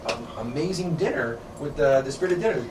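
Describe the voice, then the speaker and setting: A man's voice: a little high, lively intonation, fast. A middle-aged man hosting a casual interview in a hotel room, upbeat and laughing often. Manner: enthusiastic, laughs often, calls people dude. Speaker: interviewer, show host. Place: hotel room with curtains, indoors. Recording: roomy, distant, noisy camera sound.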